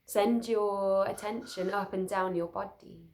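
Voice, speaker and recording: A woman leading a guided sleep meditation, speaking soothingly and gently in short, unhurried phrases with pauses. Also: mid-range voice, fairly even intonation, creaky.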